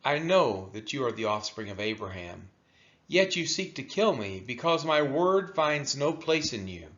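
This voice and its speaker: A man reading scripture aloud in a solemn style, with deliberate, emphatic phrasing and a dull, lo-fi sound. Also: medium pitch, big pitch swings, fairly bright tone. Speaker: scripture reader.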